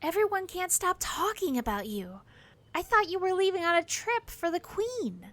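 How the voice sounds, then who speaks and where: Animated, very high-voiced young palace friend. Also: female voice, normal speed, exaggerated voice. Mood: concerned. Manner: eager, sympathetic. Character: warm, chatty, romantic young woman, palace colleague and friend. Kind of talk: audio drama dialogue.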